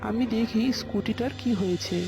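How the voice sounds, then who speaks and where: Woman voicing a kind young man, rather high. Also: a little fast, natural voice. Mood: helpful, modest. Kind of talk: scripted cartoon dialogue. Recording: loud background music. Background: music.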